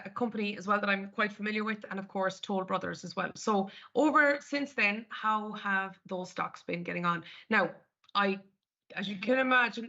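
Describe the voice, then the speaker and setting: A woman's voice: mid-range voice, pushed and bright. A woman with an Irish accent presenting a live market webinar, chatting her way through each screen step by step. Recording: dull lo-fi webinar audio.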